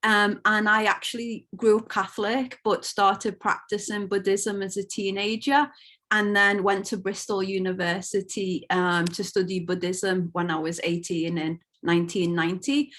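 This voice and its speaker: A woman's voice: mid-range voice, little pitch movement, normal speed, fairly bright tone. A woman in her fifties from Liverpool, an academic on a panel, introducing herself in a friendly, appreciative way with a few stumbles over a video call. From Liverpool, England.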